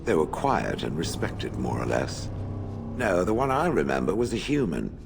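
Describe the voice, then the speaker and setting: Deep, deadpan stern warrior. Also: male voice, normal speed, gravelly, background noise, full character voice. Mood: deadpan disdain. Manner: deadpan, terse. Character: stern, disdainful, dry-witted foreign warrior. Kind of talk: video game voice acting. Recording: music behind.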